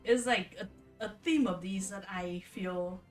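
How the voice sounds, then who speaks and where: Female livestream storyteller, informal and rambling, halting delivery, heard at a distance from the mic over music. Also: mid-range voice. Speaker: streamer reading folktales aloud. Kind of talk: casual livestream storytelling and commentary.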